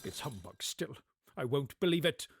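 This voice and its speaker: A man's voice: medium pitch, big pitch swings, normal speed, background noise. A man voicing a stubborn, rattled old man in a radio story, emphatic and blustering. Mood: stubborn disbelief. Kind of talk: dramatic storybook reading for radio play.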